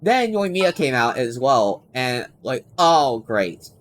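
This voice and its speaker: A man chatting casually to viewers on a game livestream, rambling and halting as he doubles back on his sentences. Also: mid-range voice, sing-song, a little hiss or hum. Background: game music.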